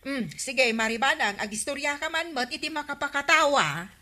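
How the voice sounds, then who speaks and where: An actress playing a nosy, prodding village gossip in an Ilocano radio comedy drama. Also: female voice, high-pitched, animated, normal speed, heavy background noise.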